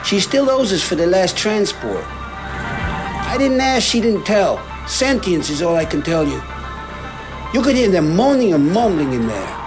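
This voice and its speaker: Brief: threatening young-blooded gang boss for a game, animated, music underneath. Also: male voice, sits high, measured pace. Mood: guarded. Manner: tough, foul-mouthed. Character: hostile streetwise male gang leader, edgy, threatening. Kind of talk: video game cutscene acting.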